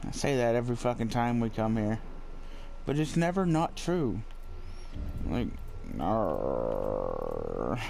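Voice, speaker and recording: A man's voice: mid-range voice, animated, moderate pace, mellow, slight background noise. A man giving casual, sweary game commentary in a laid-back way, often muttering to himself. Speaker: gamer recording a playthrough.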